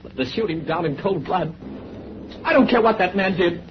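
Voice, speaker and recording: Anguished soldier, swooping pitch. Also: male voice, expressive, normal speed. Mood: anguished protest. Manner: emotional, protesting. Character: anguished soldier sickened by killing. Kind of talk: 1930s radio acting. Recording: noisy, muffled vintage radio recording.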